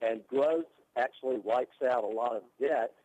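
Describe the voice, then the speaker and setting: Male politician, medium pitch, explanatory interview talk. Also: moderate pace, a little mellow, no echo, lo-fi sound. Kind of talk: interview answer.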